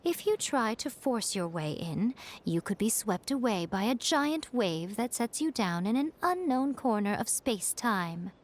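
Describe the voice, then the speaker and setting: A woman voicing a sly, elegant fox-spirit shrine familiar in a video game, poised and lofty with deliberate, teasing phrasing. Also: fairly high pitch, sing-song, slight background noise. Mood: composed, explanatory. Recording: game music underneath. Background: game music.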